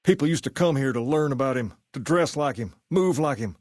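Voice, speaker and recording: A suave gang boss from a post-apocalyptic video game, played by a male actor. He speaks with a folksy Southern American accent at an ordinary male pitch. His manner is easygoing and sure of himself, like a man used to being king of his turf, and the sound is dry, free of room echo. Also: lively intonation, fairly quick, darkish tone, natural voice. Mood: relaxed, reflective. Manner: folksy, easygoing, self-assured. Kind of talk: video game character dialogue.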